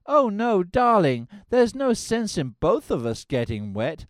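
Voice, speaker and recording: A man voicing a prim, dramatic young fiancée in a fluttering falsetto. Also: huge pitch swings, a little slow, no room sound, studio quality, heavily acted. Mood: fretful. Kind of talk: parody of a musical film.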